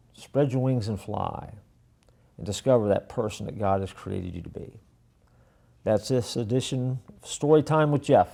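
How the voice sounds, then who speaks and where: A man hosting a church storytelling video, telling a parable in a folksy, self-deprecating way and letting phrase ends trail off softly. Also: medium pitch, gravelly, husky. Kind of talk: casual storytelling with a moral.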